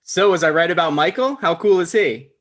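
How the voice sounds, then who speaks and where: A needy, starstruck show creator played by a man in a comedy table read. He talks earnestly and conversationally, with very animated intonation and a slightly high voice. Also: a little fast. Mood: eager.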